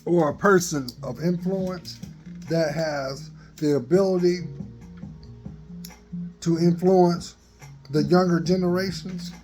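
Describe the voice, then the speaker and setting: Slow, earnest middle-aged man. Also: high-pitched, fairly even intonation, a little mellow, soft background music, low-quality recording. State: dry voice, coughing. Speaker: vlogger. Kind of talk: motivational selfie vlog. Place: open garage, daytime. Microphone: phone at arm's length.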